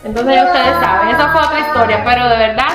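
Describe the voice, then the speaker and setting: A woman's voice: on the high side, fast talker, reverberant, loud music behind the voice. A Cuban woman with a Dominican-tinged accent, telling her life story to viewers in a chatty way and often calling out to them. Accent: Dominican-tinged Cuban Spanish. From Cuba. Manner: chatty, addresses viewers as "señores". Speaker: storyteller in a reacted video. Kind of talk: personal story told to viewers.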